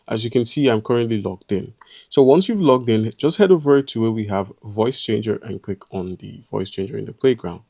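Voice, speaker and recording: A man giving a step-by-step software tutorial, explaining calmly with frequent "uh" pauses. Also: fairly low pitch, vocal fry, breathy edge. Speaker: tutorial presenter. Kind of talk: screen-recorded software walkthrough.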